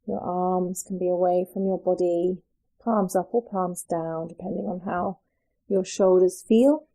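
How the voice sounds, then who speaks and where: A woman guiding a yoga nidra relaxation as a meditation teacher, speaking in a soothing, gentle, unhurried way with pauses. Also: fairly low pitch, steady tone.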